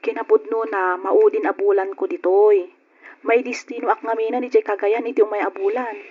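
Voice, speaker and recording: A woman's voice: mid-range voice, steady tone, fairly quick, lightly acted. A woman acting a hospitable, matter-of-fact friend in an old Ilocano radio drama. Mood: friendly, matter-of-fact. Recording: muffled, noisy old radio recording.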